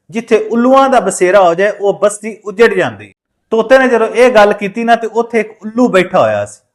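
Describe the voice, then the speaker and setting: A man with a Punjabi accent telling a moral story aloud, emphatically repeating phrases for effect. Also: sits high. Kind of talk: oral moral storytelling with acted dialogue.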